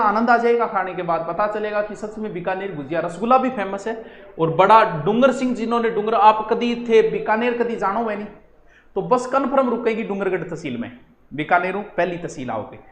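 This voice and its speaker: A man with a Rajasthani accent giving an informal exam-coaching lecture, addressing students directly and slipping into local dialect. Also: high-pitched. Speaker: history teacher for exam coaching. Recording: roomy, echoing sound.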